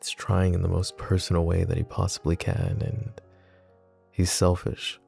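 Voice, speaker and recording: A very deep, creaky-voiced mafia boss played by a man murmurs to his listener in an intimate audio roleplay. His tone is dark and mellow, possessive yet teasing, and he takes his time between phrases. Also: sing-song, normal speed, soft background music, heavily acted. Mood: grave, protective.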